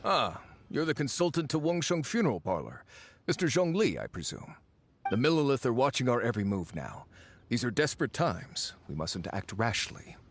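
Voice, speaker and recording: A man's voice: mid-range voice, very animated, moderate pace, a little hiss or hum. An actor playing a wary, businesslike male soldier in a video game, with a wry, confiding manner. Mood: wary, urgent. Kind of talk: video game character voice acting.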